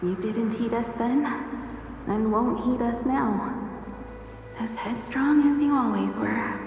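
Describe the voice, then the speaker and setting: A woman's voice: fairly high pitch, steady tone, slow pace, a little hiss or hum. A woman acting an earnest young apparition in a dramatic fantasy game. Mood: reproachful. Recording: echoey hall, muffled, music behind.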